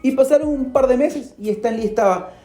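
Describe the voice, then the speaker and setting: A man hosts a podcast and narrates a story in a dramatic, animated way. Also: very high-pitched, normal speed. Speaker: podcast host. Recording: roomy echo. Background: faint music.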